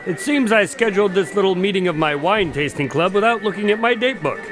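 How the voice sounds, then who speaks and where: A man's voice: high voice, animated, fairly quick, over music. A man acting a genial, courteous doctor hosting a party in a radio drama, polite and a bit fussy. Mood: welcoming, flustered.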